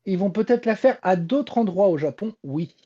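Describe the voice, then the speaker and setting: Female panelist, mellow tone, hesitant and wordy informal explanations, slightly dull lo-fi sound. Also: mid-range voice, expressive, normal speed. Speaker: podcast panelist and reporter on Japanese pop culture.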